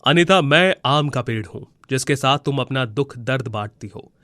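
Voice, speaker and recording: Man voicing a bright-toned, kindly magic tree. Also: medium pitch, expressive, moderate pace, dry recording. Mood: consoling. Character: kindly, consoling magical talking mango tree. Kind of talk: Hindi moral storybook voice acting.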